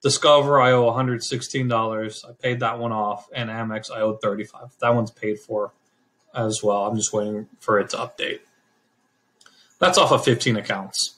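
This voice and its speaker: A middle-aged man hosting a casual screen-share walkthrough, talking in a relaxed, rambling way and correcting himself as he goes. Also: fairly low pitch, normal speed. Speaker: channel host explaining credit scores.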